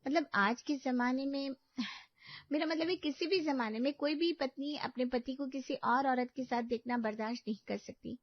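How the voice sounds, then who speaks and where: A woman's voice: high-pitched, normal speed, no room sound. A woman voicing a gossipy young shop employee. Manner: chatty, insinuating. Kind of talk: cartoon story dubbing. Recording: dry dull lo-fi studio.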